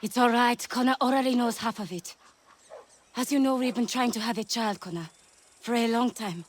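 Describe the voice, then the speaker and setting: An actress playing a gentle, wistful settler wife in a video game, soft-spoken and candid. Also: female voice, fairly high pitch, normal speed, close to an everyday voice. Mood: sad, resigned. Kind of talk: video game character dialogue. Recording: faint background noise.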